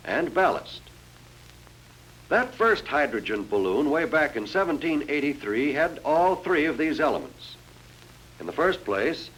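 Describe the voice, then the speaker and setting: A man's voice: medium pitch, a bit bright, a little hiss or hum, low-quality recording. A man narrating a 1940s educational film in a formal, instructive, scripted manner. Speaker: narrator of a military training film. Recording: 1940s film soundtrack, faint music.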